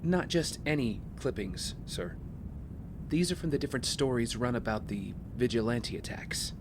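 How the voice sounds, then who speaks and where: An actor playing a wealthy young heir, polite, smooth-talking and guarded, in audio drama dialogue. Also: male voice, medium pitch, animated, moderate pace, background noise, close to an everyday voice. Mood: earnest, persuasive.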